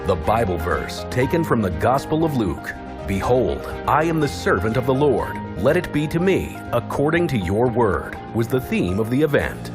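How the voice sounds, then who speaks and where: Male documentary narrator, measured and informative, lively intonation, music bed. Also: medium pitch. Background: loud music bed.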